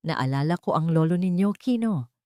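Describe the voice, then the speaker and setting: A woman plays a frail old grandmother in an audio storybook. She speaks gently and with feeling, in a soft, trembling voice that lifts above the narrator's pitch, recorded close and dry. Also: expressive, normal speed, clear, resonant tone, dry recording, natural voice. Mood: wondering, reminiscing. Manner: gentle, emotional. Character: frail, tender old grandmother, grateful and wondering.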